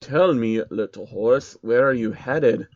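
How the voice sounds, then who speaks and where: A man's voice: medium pitch, takes it slowly, dry recording, lo-fi sound. A man voicing a grumpy, arrogant old billionaire villain with a snarling, pompous manner in a storybook reading. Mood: dismissive. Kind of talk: storybook character voice in amateur fan fiction reading.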